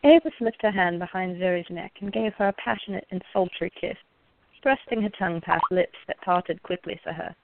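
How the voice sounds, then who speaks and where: A woman's voice: lowish voice, normal speed, creaky. A woman narrating a romantic radio play from a script. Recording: thin phone-like audio.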